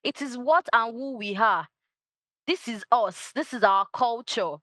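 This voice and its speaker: A woman's voice: fairly high pitch, measured pace, fairly bright tone, no room sound. Passionate woman, dry sound. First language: Yoruba. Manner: passionate, emphatic, repeats key phrases. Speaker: guest, culture advocate. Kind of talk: interview answers.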